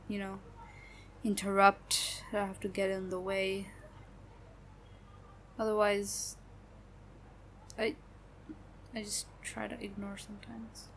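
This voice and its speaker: A sad young woman who hides her hurt behind a halting, unhurried way of talking, trailing off as she goes, played by a woman in an audio roleplay. Her speech is slow and hesitant, with nervous little laughs. A faint background noise sits under her voice. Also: mid-range voice. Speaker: voice actor.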